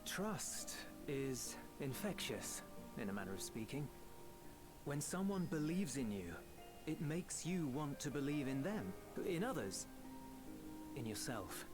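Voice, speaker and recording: A man playing an earnest, humble young soldier in a video game, speaking in a measured, sincere and reflective way. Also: medium pitch, very animated. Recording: game music behind the voice.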